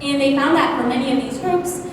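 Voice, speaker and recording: A woman presenting her research at a conference, earnest and full of fillers, with a voice she calls loud. She is heard in an echoey hall. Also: high-pitched, a little fast. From United States. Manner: earnest, many fillers, self-aware about loud voice. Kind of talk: academic conference talk. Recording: echoey hall from far off, noisy.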